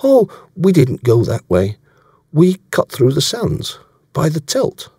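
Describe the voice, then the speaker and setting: A man's voice: fairly high pitch, wildly swooping pitch, slow pace, dark tone, slightly rough, no room sound, clean studio sound, voice pushed up, exaggerated voice. A man voicing an earnest, awkward English yachtsman in an audiobook, answering in a short, dogged, defensive way. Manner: short, defensive, offhand replies.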